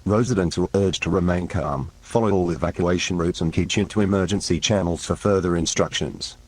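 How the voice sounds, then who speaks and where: A very low-voiced man with a husky, unclear tone makes a scripted emergency announcement. He sounds official and impersonal, keeping a measured, formal delivery.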